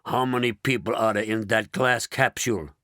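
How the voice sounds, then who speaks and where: A man voicing a gruff, bossy male President with a deep, rasping voice who barks orders in a storybook reading. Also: fairly even intonation, moderate pace, bright, forceful tone, no echo, clean studio sound, lightly acted.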